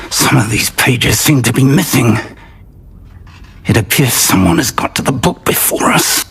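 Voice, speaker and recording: An actor playing a kindly, scholarly older wizard professor, in scripted fantasy game dialogue. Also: male voice, fairly low pitch, creaky, slightly rough, full character voice.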